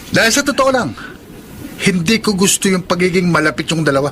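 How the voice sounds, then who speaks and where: A haughty, jealous young man with a strikingly high voice. He speaks in a forceful, emphatic way, confronting others in radio drama style. There is faint noise on the recording. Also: expressive, a little fast. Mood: menacing. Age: young adult. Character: haughty, jealous young son, shaken by adoption news. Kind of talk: Tagalog radio drama acting.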